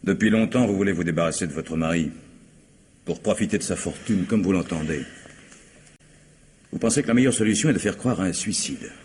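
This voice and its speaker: A man acting as a composed, methodical police commissioner in a French radio mystery, speaking formally and deliberately. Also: sits low, darkish tone, a little hiss or hum, close to an everyday voice. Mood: calm, accusing.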